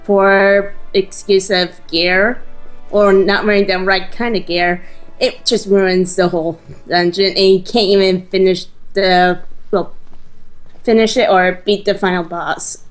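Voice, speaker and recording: A woman co-hosting a casual let's play, chatting in a hesitant, halting way as she explains things. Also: mid-range voice, steady tone. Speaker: gaming co-host. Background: faint game music.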